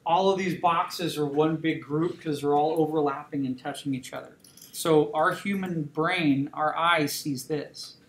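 A man's voice: on the high side, fairly even intonation, measured pace. A man teaching a drawing class, talking casually and checking in with students. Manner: conversational, repeats "okay?" checks with students. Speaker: drawing instructor. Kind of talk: classroom lecture while drawing. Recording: roomy classroom with faint noise.

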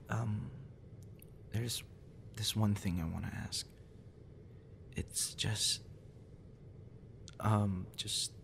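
A man playing a withdrawn, tender young man in an intimate boyfriend roleplay, speaking softly and haltingly. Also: on the low side, a little hiss or hum. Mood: hesitant, awkward. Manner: hesitant, halting, soft-spoken. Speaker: audio roleplay performer.

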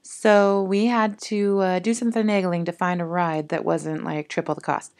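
A woman's voice: fairly low pitch, little pitch movement, normal speed, a little mellow. A woman in her thirties chatting casually in a family travel vlog, upbeat and rambling.

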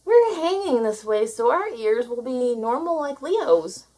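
Woman playing an earnest little bunny, high-pitched. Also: very animated, unhurried, dark tone. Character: fretful, earnest little bunnies. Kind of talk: children's storybook read aloud.

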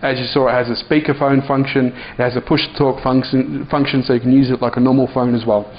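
A man's voice: medium pitch, flat delivery, fast talker. A man presenting a casual, unscripted product demonstration as an app reviewer. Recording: dull lo-fi sound, clear background noise.

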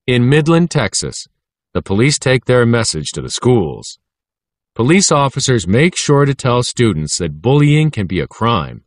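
An American man reading an educational text aloud as a narrator, clearly enunciated and instructive. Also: mid-range voice, no echo, clean studio sound. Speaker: audiobook narrator.

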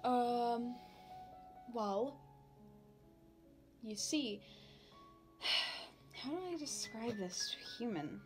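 Girl playing a shy demon princess for asmr, talks slow with lots of pauses, music behind her. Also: on the high side. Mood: hesitant, nervous. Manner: hesitant, halting, informal with long pauses. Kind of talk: ASMR roleplay addressed to the listener. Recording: background music.

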